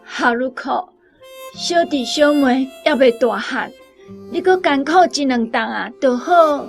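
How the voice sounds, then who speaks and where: A woman's voice: high voice, measured pace, gravelly, faint music behind. A woman playing an emotional, volatile village mother with a Taiwanese accent in a radio drama. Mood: pleading.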